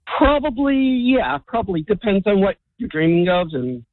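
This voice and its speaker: A radio caller, a man born in the United States whose first language was Hungarian, chatting softly and hesitantly on the phone. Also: high-pitched, very animated, normal speed, dry recording. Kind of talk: casual phone chat. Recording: tinny phone line.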